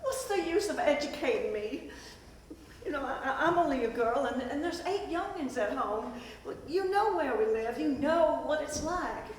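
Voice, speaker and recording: High-pitched resigned country schoolgirl, played by a woman, hesitant, stage drama, distant microphone, faint noise. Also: expressive, normal speed. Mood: resigned, pleading. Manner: hesitant, repeating words. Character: discouraged young Appalachian schoolgirl, plainspoken and resigned. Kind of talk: staged play reading. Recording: echoey hall from far off, hiss.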